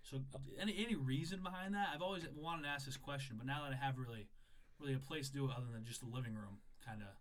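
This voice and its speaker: A man's voice: mid-range voice, fast, fairly bright tone. A young man hosting a casual podcast, brash and joking, swearing freely. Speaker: podcast host.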